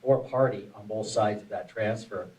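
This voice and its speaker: A man's voice: on the low side, normal speed, a little mellow. A man on a panel, explaining at length and often restarting his phrases. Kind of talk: panel discussion. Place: conference room at a forum. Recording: distant room mic.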